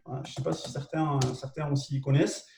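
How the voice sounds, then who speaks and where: A man with a southwest French accent walks through tools in a live online session, hesitant and repetitive, often asking whether people are following. His voice sits fairly high but sounds mellow and soft-edged. He is caught by a mic set back from him in an echoey room. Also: level tone, fast talker, creaky, far from the mic, a little hiss or hum. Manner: hesitant and repetitive, checks in with listeners. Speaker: co-host presenting marketing tools. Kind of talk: live webinar explanation.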